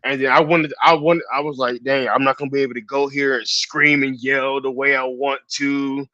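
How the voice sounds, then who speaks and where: A man chatting as a podcast guest, laid-back and repeating himself, with a hoarse edge. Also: medium pitch, flat delivery, moderate pace, pushed and bright, dry recording. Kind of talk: casual chat. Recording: dry, dull lo-fi sound.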